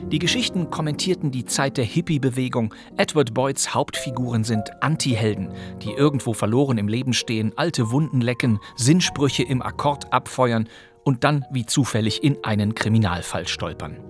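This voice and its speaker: A man's voice: medium pitch, normal speed, music in the background. A man presenting as a podcast host, introducing a radio play from a script with articulate, showman-like phrasing.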